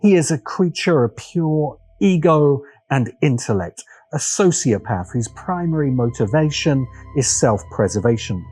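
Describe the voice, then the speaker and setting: A man delivers scripted commentary in the manner of a video essay host. His pitch moves around a great deal as he sets phrases apart with deliberate, dramatic pauses. Music plays clearly behind him. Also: lively intonation, darkish tone. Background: background music.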